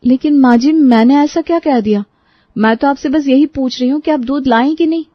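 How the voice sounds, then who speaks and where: A woman's voice: high-pitched, normal speed, no room sound, dull audio, pitched above the performer's own voice, natural voice. Woman acting a polite young Indian daughter-in-law. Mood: puzzled, pleading. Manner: polite, coaxing, reassuring. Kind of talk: animated moral storybook acting.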